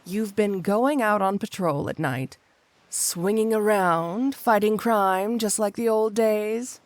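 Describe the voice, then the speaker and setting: A woman's voice: medium pitch, no room sound, lightly acted. A woman playing a flirty, possessive supervillain cat burglar wife in an intimate ASMR roleplay, coyly drawing out her endearments. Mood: exasperated. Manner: coy, drawn-out, purring endearments with pointed hums.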